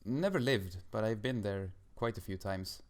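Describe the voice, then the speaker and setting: A man's voice: lowish voice, moderate pace. A man in his twenties from Sweden, chatting casually as a podcast guest with dry, self-mocking irony. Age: 20s.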